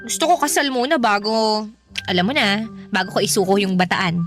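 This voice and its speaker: A woman's voice: mid-range voice, lively intonation, brisk, fairly bright tone, soft background music, close to an everyday voice. An actress playing a giggly, playful young woman about to be engaged, in a radio drama.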